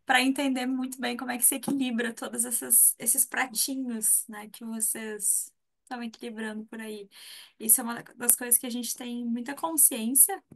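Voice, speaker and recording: A Brazilian woman teacher hosting a live online class, playful and expressive, acting out little quotes as she explains. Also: a little high, normal speed, creaky. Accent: Brazilian Portuguese. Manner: warm-hearted, playful, acts out quotes. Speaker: course teacher and host.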